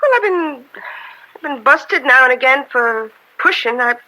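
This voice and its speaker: A woman's voice: sits high, animated, normal speed, bright, forceful tone, rough recording. A woman acting in a radio drama as a sheepish small-time American drug pusher, hesitant and streetwise on the witness stand.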